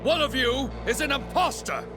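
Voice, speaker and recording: A frightened, accusing townswoman in a video game. Her voice is very high and shrill, with music clearly behind it. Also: animated, moderate pace. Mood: angry, accusing. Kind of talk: dramatic video game acting.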